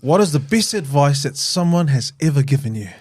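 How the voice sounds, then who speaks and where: A man hosting a podcast interview, affirming his guest warmly and steering the talk with questions. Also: on the high side, expressive, brisk, no echo, clean studio sound. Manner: warm and encouraging, with frequent affirmations. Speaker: podcast host.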